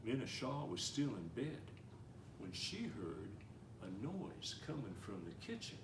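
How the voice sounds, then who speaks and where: Male storyteller, lively read-aloud delivery, recorded from a little way off in an echoey room with faint hiss. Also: on the high side, vocal fry. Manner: gentle, animated storybook reading with slowing and quickening pace. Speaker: storyteller reading a picture book. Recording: roomy, somewhat distant mic with faint noise.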